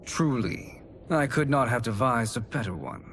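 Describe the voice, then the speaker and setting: Smooth, courtly scheming sorcerer, voiced by a man in a game cutscene. He speaks at a middle pitch with formal, flattering poise. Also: normal speed, a little hiss or hum, close to an everyday voice. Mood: suave. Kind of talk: video game cutscene acting.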